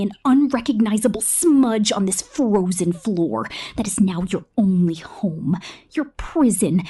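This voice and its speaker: A woman voicing a sadistic, possessive killer robot in an ASMR roleplay, breathy and often whispering, with a menacing, taunting, drawn-out delivery. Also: medium pitch, a little mellow. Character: sadistic, possessive female killer robot drone toying with prey.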